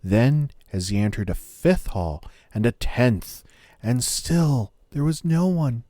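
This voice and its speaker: A man narrating a scripted fairy tale as a storyteller, in a measured, dramatic manner. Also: mid-range voice, lively intonation, mellow, no room sound, clean studio sound.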